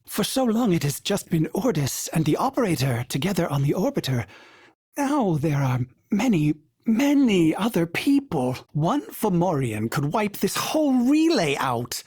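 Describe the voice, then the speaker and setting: A man's voice: a little slow, husky, dry recording, clean studio sound, exaggerated voice. A man voicing a devoted, fussy male ship AI who speaks formally and calls himself by name in the third person. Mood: earnest. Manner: sudden jumps in pitch and speed, fussy and excitable. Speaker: game character voice. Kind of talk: video game voice lines.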